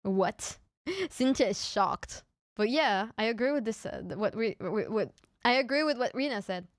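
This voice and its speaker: A woman's voice: on the high side, animated, moderate pace, no room sound, studio quality. A young woman from France, chatting casually with viewers on a live stream, often stammering and restarting her words with little giggles. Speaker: VTuber streamer.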